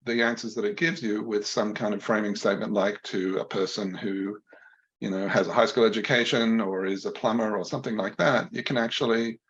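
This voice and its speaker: A man on a panel speaking thoughtfully and hesitantly, with many pauses and fillers, over a video call. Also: lowish voice, steady tone, a bit bright, muffled recording.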